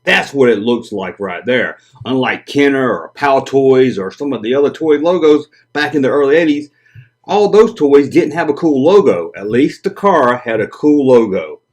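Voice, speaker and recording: A man's voice: medium pitch, moderate pace. A man hosting a collector video, talking casually and joking about odd vintage toys; his first language is Japanese. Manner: animated, joking, chatty. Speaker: toy collector channel host.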